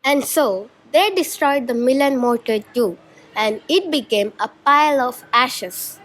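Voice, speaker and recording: A woman narrates a storybook tale at a very slow, deliberate pace. Her voice sits fairly high. The amateur recording carries some faint noise. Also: fairly bright tone, low-quality recording. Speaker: narrator of a student puppet show.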